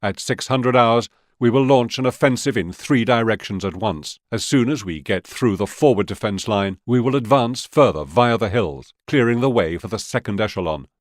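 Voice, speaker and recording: An actor playing a stern, authoritative Soviet military officer giving measured orders in a war video game. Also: male voice, lowish voice, lively intonation, dry recording, studio quality, close to an everyday voice. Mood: firm, resolute. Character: stern Soviet male military officer briefing his men.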